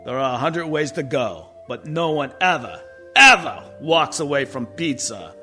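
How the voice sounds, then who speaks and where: A neurotic mob boss from a comic radio play, acted by a man. His voice sits high and swoops widely as he whines and rambles in tough-guy slang. He sounds like an exasperated middle-aged father at the end of his rope. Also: big pitch swings, a little slow, bright, forceful tone, soft background music, heavily acted. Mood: ominous.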